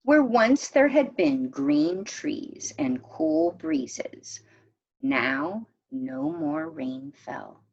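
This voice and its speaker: A woman teacher reading a story aloud expressively, with deliberate dramatic pauses and hushed phrases. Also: deep voice, animated, breathy edge, dull audio.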